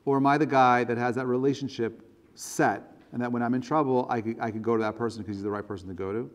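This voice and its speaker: A man's voice: mid-range voice, steady tone, quick pace. The man you would hear at an evening synagogue class, an earnest rabbi asking the listeners question after question, his words ringing on in the big room. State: voice strained, choking up. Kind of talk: religious lecture, conversational. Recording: reverberant hall.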